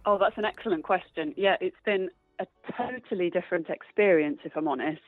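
A woman's voice: fairly low pitch, a little fast, no echo, soft background music. Cheerful woman on a narrow phone line. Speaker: interview guest, stage actor. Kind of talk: conversational interview answers.

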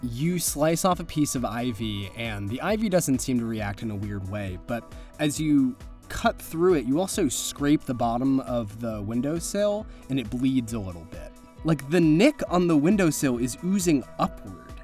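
A man's voice: medium pitch, sing-song, normal speed, a little mellow. A man narrating a tabletop horror-comedy as game master, descriptive and conversational. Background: faint music.

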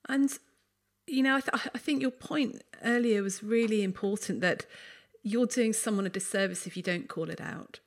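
A woman's voice: medium pitch, normal speed. A woman hosting a panel discussion, thoughtful and asking questions.

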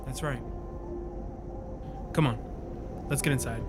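A man's voice: medium pitch, takes it slowly, very noisy, close to an everyday voice. A man playing a harried scientist father in an audio drama, rambling yet earnest, in a naturalistic way. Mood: gentle, resolute. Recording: loud music and effects behind.

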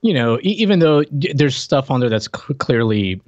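A man's voice: mid-range voice, expressive, normal speed, a little mellow, dry recording, highs cut off. A man co-hosting a podcast in casual chat, with a teasing, joking way of talking. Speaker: podcast co-host.

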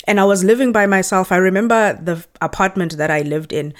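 A South African woman in her thirties, a podcast guest, telling her story in an animated, reflective way. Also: mid-range voice, a little fast. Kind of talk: conversational interview storytelling.

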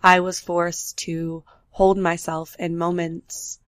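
A woman's voice: fairly low pitch, little pitch movement, dry recording, studio quality. A young woman hosting a solo podcast, talking candidly and unhurriedly about her life, a bit nasal from the flu. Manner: candid, unhurried, personal storytelling. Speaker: podcast host. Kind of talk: solo reflective podcast talk. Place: apartment with road noise outside.

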